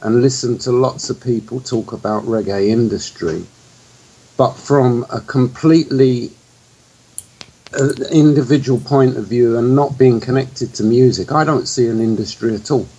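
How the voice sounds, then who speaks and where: Husky middle-aged man from England. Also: mid-range voice, moderate pace, dark tone, muffled recording. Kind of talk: talk-show discussion.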